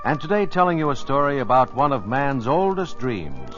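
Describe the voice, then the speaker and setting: Animated radio narrator, a man. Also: mid-range voice. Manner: measured, dramatic announcer delivery. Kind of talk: 1950s radio scripted dramatic narration. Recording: muffled vintage radio transcription. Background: music.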